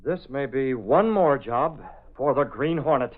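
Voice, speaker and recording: An actor playing a resolute newspaper publisher, with an American accent and emphatic, authoritative old-time radio delivery. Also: male voice, mid-range voice, animated, measured pace, noisy background, close to an everyday voice. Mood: grave, determined. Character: resolute, commanding young male newspaper publisher and crusader. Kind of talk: 1930s-40s radio acting. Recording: tinny band-limited vintage radio recording.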